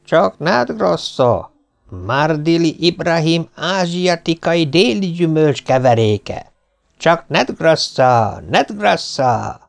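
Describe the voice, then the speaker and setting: A man voicing a bearded, sly Turkish fruit vendor who tirelessly hawks his wares in hoarse, hollow, drawn-out, repeated cries. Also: mid-range voice, sing-song. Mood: tireless hawking. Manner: drawn-out, repetitive hawker's cries.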